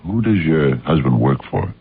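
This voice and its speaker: An actor playing a gruff, wary sleuth in a radio drama, with halting, probing questions and a very deep, gravelly voice. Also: male voice, sing-song, full character voice. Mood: probing, suspicious. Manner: halting, pressing questions with many ums. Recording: muffled lo-fi radio drama recording.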